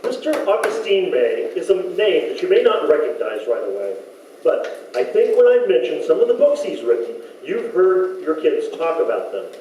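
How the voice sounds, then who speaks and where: A man playing a polite, patient radio interviewer in an old-time comedy sketch, with halting, hesitant patter. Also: high-pitched, big pitch swings, warm tone. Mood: genial. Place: community hall stage. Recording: echoey hall, distant mic, faint noise.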